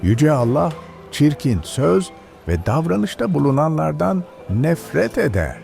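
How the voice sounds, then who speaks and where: A kind, patient village grandfather in an educational children's cartoon. His voice is creaky and very expressive, moving freely in pitch as he gently explains good manners. Soft music sits behind him. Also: measured pace, full character voice. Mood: patient, instructive. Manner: instructive, gentle moralizing.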